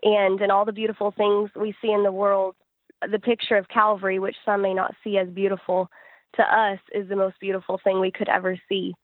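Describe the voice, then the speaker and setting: A woman's voice: mid-range voice, little pitch movement, brisk, no echo. A woman singer-songwriter giving conversational answers in an interview, recorded with muffled, band-limited sound.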